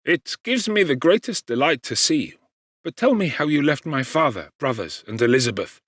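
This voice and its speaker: A man voicing a cheerful, warm young gentleman friend in an audiobook, eager and exclamatory in formal old-fashioned speech. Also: on the high side, very animated, moderate pace, no room sound, studio quality, pitched above the performer's own voice. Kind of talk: audiobook character dialogue.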